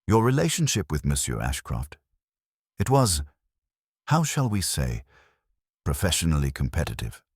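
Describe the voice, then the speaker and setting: A man reading as a gentle, probing old detective. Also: rumbling low, wildly swooping pitch, husky, no echo, studio quality, full character voice. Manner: gentle, probing questions with pauses. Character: courteous elderly male Belgian detective.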